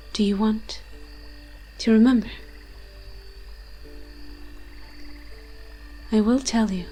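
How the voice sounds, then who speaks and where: A woman's voice: medium pitch, a little mellow, close to an everyday voice. A woman voicing an ancient, moss-covered forest demon in an audio roleplay, tender and protective, speaking in solemn, poetic, archaic storytelling phrases. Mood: gentle, grave.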